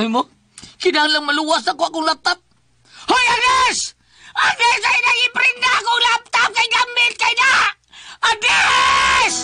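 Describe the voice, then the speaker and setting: Man acting a high-pitched, exasperated Cebuano husband. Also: exaggerated voice. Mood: alarmed. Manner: exasperated, exclamatory, complaining. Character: distressed, suspicious Cebuano husband fed up with his wife. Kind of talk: melodramatic comic radio drama acting.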